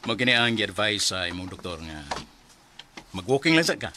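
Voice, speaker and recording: A man acting a kind, devout benefactor in a radio drama, speaking in a warm, reassuring, expressive way. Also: fairly low pitch, normal speed, a little hiss or hum. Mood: glad, caring. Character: kind, generous, devout male benefactor, gently encouraging.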